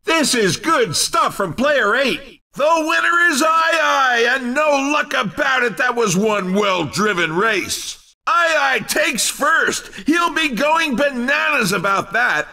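A man playing an upbeat, excitable race commentator in a video game, with punchy, emphatic sports-commentary delivery. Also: extremely high pitch, takes it slowly, recorded from a distance, full character voice. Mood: excited. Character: upbeat, excitable male racing-game announcer.

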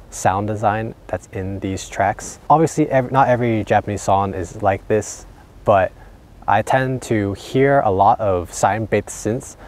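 Relaxed young man explaining chords outdoors. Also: lowish voice, a little hiss or hum. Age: young adult. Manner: relaxed, thinking aloud with fillers. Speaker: vlogger and songwriter. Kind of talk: casual explanatory vlog talk. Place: outdoors by a lake in winter. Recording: background music under voice.

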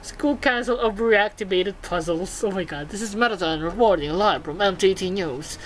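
A woman's voice: mid-range voice, moderate pace, lightly acted, poor audio. A woman voicing a vain, gushing showbiz robot who presents TV news in a video game, with theatrical announcer phrasing. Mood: showy, gushing.

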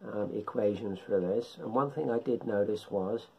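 A middle-aged man explains things informally in a lowish, rough-edged voice. He pauses often, breaking sentences into short phrases. The home recording is a little dull and lo-fi. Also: a little mellow. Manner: unhurried, halting delivery in short phrases. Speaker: amateur radio hobbyist presenter. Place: indoors, living room at home.

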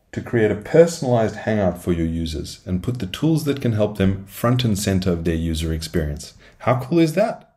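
A man with a low voice delivers a scripted software tutorial. His pitch moves in lively swings, and his delivery is upbeat and salesy, like a presenter walking viewers through a product. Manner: upbeat, salesy phrasing with rhetorical questions. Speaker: solution engineer presenting a product demo.

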